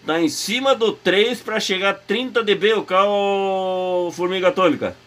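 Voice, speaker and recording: A man's voice: sits high, a little slow, fairly bright tone. A man heard over AM CB radio, chatting casually in a repetitive, appreciative way. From Brazil. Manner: repetitive, appreciative, calling out callsign and places. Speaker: amateur radio operator on the other end of contact. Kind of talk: casual radio contact chat. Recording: narrow, static-laden CB radio reception.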